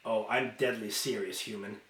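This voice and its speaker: A man's voice: lowish voice, steady tone, normal speed, fairly bright tone, roomy sound, pitched below the performer's own voice, close to an everyday voice. A man voicing a cunning, defiant female fairy officer held captive in a storybook, clipped and probing. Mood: cold defiance.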